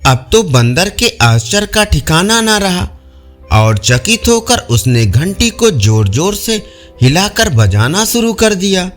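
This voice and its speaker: A man narrating folk stories in a measured, expressive reading style. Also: high voice, soft background music. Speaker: storyteller. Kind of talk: scripted audio-story narration.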